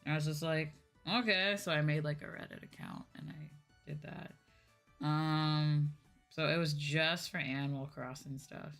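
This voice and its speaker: A woman's voice: sits low, fairly even intonation, normal speed, gravelly, a bit bright, faint music behind. A woman streamer chatting casually and candidly to her viewers in a rambling, conversational way. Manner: conversational, candid, ranting with swearing. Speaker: streamer and VTuber host.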